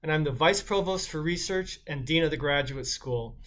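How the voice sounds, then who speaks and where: A middle-aged man with a slightly high voice delivers a prepared welcome speech. He speaks as a university dean, recorded at desk distance in a small office. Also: normal speed, a bit bright.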